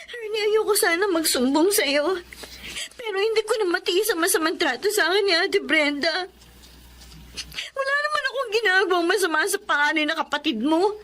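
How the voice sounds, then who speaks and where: A woman's voice: extremely high pitch, animated, moderate pace, fairly bright tone. An actress playing a plaintive young wife bullied by her in-law, in a Filipino radio drama. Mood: tearful, pleading. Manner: plaintive, pleading.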